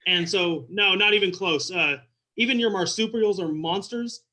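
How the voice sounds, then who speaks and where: Joking Southern-accented man, bright voice. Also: high-pitched, normal speed. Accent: Texas and Oklahoma Southern. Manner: playful, exaggerating, drawling. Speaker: visiting king, interview guest. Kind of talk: casual joking chat. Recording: video call.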